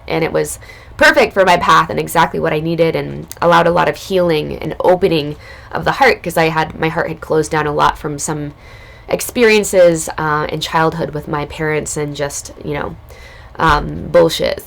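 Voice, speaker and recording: A woman recovering from illness, her voice hoarse and raspy, telling a personal spiritual story in a casual, loose way as a podcast host. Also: deep voice. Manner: casual, loose, spiritual talk with many fillers.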